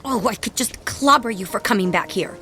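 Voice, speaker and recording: An actress playing a sharp-tongued, wounded ex-wife in a radio drama, guarded and full of biting, sarcastic retorts. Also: female voice, medium pitch, lively intonation, a little fast, soft background music. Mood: bitter, angry.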